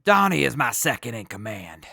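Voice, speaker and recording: Man playing a gravelly, bright-voiced beatnik squad leader. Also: medium pitch, very animated, normal speed, no room sound, studio quality, full character voice. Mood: cool, confident. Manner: jive beatnik slang, storytelling drawl. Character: hip beatnik squad leader, slangy and unflappable. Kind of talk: post-apocalyptic audio drama acting.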